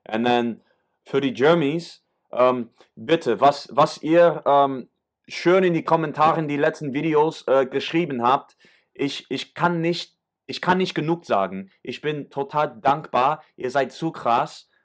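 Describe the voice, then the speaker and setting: A man's voice: fairly high pitch, measured pace. An American man explaining song lyrics in a loose, rambling, casual way, often restarting his sentences. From United States. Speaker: YouTuber explaining German rap lyrics.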